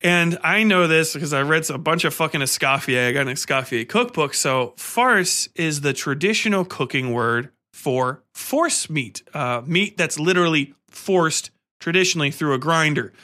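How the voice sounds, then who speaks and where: A man hosting a podcast, giving casual, rambling film commentary in an excitable, emphatic, sweary way. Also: a little high, normal speed, hardly any pauses. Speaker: podcast host.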